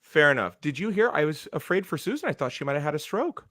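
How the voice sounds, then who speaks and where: A man's voice: on the high side, very animated, fast talker, a bit bright, no room sound, studio quality. A man competing in a pun contest, teasing and playful, over a video call. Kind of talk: quick-fire pun banter.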